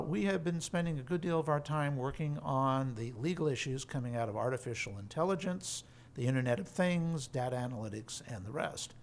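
Articulate law professor man. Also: mid-range voice. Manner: measured, articulate explaining. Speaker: law professor and center director. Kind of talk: podcast interview.

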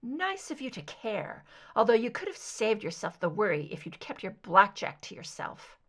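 A woman's voice: medium pitch, very animated, moderate pace. A woman voicing a tough captive female federal agent, blunt, sarcastic and challenging, in a thriller audiobook. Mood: cool sarcasm.

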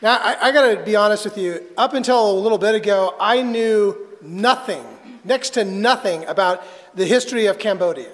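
A man preaching a sermon as a pastor, telling a personal story earnestly and haltingly, with dramatic pauses. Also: high-pitched. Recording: reverberant hall.

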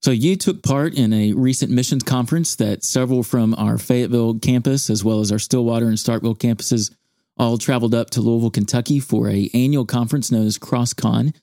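A man hosting a studio podcast interview, measured and earnest, with church phrasing. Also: mid-range voice, mellow, no room sound. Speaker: podcast host, campus ministry staff.

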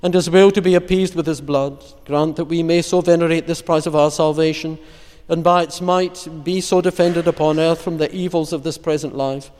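Higher-voiced man praying in long reverb. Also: fairly even intonation, darkish tone. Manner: measured, reverent, formal delivery. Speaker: prayer leader. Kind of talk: solemn liturgical recitation of psalm, litany and prayers. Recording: long echoing church-like reverb.

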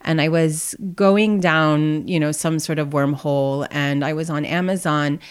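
A low-pitched young woman, a scholar discussing her book in an interview. She explains thoughtfully, often circling back with fillers and restarted phrases. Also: steady tone, a little slow, smooth. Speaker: author and music scholar. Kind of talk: academic interview.

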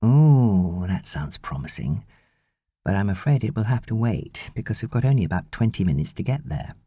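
Woman doing a british husband, playful and fond, pitch swinging about, audiobook, dry sound. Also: sing-song, normal speed, dark tone, husky, no room sound, heavily acted. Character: easygoing, teasing, affectionate husband, adult man.

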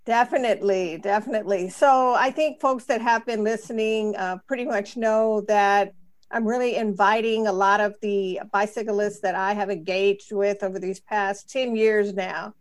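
A woman's voice: medium pitch, steady tone, moderate pace. A woman hosting a radio interview, introducing her guest in a chatty, friendly way. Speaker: host.

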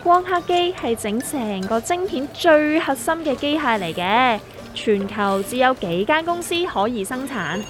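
A woman as a high-voiced, sardonic Hong Kong know-it-all. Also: expressive, unhurried. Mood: explaining. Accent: Hong Kong Cantonese. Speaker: voice actor in a radio drama. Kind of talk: scripted comedic dialogue. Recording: music under the voice.

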